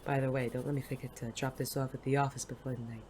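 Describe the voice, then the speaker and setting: An actor playing a world-weary, wisecracking private detective in an audio drama, dry, sardonic and casually profane, with a soft spot underneath. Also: mid-range voice, level tone, fast, a little hiss or hum, natural voice. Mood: dry, teasing.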